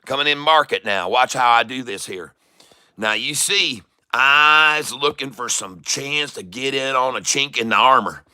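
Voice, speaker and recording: A man's voice: mid-range voice, measured pace, sharp tone, clean tone. A man with an exaggerated folksy Southern US country drawl, talking cocky and boastful as he hosts a casual trading livestream, swearing freely. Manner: chuckles often, swears casually. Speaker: livestream stock trading host. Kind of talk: casual livestream chat, hype trading commentary.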